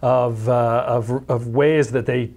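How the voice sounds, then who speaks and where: A man's voice: medium pitch, steady tone, slow pace, gravelly, darkish tone. A middle-aged man, a guest panelist on a local sports talk show, speaking hesitantly with many fillers in a small studio on a lapel mic. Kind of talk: casual sports chat. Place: small brick-walled TV studio set.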